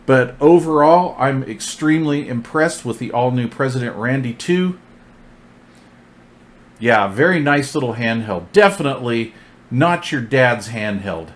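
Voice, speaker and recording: A man's voice: mid-range voice, animated, measured pace. A man reviewing gear hands-on in a casual, informal, folksy way, often repeating his phrases.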